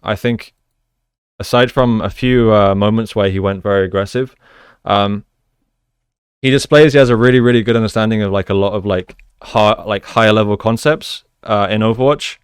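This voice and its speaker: Male, lowish pitch, clear smooth tone, esports coach commentary, measured explanatory delivery. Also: no echo, clean studio sound. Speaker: esports coach, video host. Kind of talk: gameplay analysis commentary.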